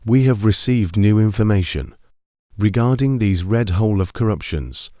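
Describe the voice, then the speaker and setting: A man playing a grave TV announcer, reading formal emergency bulletins in a measured, solemn manner. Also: on the low side, warm tone, no room sound, clean studio sound, soft background music, natural voice.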